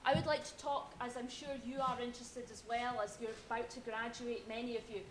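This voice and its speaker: A woman giving a measured, pointed campaign speech as a candidate at a debate. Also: on the high side, little pitch movement. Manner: measured and pointed, criticizing opponents. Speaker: election candidate. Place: university debate hall. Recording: echoey hall, faint noise.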